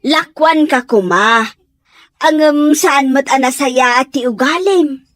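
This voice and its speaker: A woman's voice: high-pitched, slow pace, fairly bright tone, dry recording, clean studio sound. A woman with an Ilocano accent acting a fish hawker in a radio drama. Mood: coaxing, sales patter.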